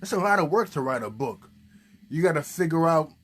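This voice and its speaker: A man presenting a children's puppet show, playfully coaxing with questions and hesitant pauses. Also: on the high side, expressive, fairly bright tone.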